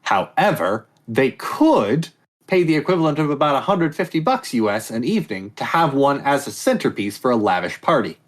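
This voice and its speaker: A man's voice: mid-range voice, big pitch swings, normal speed. A man hosting a casual comedy podcast quiz, reading statements aloud and joking off on tangents. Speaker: quiz host.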